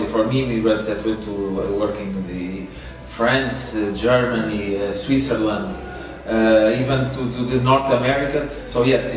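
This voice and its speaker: A man in his thirties from Portugal, answering interview questions steadily with many fillers, recorded indoors on a distant camera mic. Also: medium pitch. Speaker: youth volunteer worker. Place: small indoor room. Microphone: far camera mic. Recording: echoey room, distant mic.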